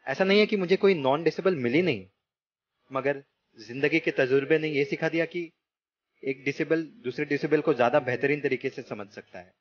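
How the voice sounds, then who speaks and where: A man playing Dhairya, a gentle, husky-voiced young man. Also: on the high side, moderate pace, natural voice. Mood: apologetic. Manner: earnest, regretful, apologetic. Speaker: husband of a blind professor. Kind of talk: amateur radio play acting. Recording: muffled lo-fi recording.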